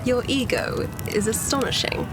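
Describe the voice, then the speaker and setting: An actress playing a sinister villainess who commands demons in a fantasy audio drama, sardonic and condescending. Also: female voice, fairly high pitch, steady tone, close to an everyday voice, rough recording. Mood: haughty scorn. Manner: sardonic, condescending, drawn-out delivery. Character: mocking, sinister villainess commanding shadow demons for her master. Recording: loud music behind the voice.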